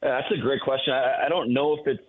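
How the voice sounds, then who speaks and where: A man's voice: medium pitch, fairly even intonation, fast talker. A man giving sports analysis as a guest announcer on a radio show, heard over a phone-like line.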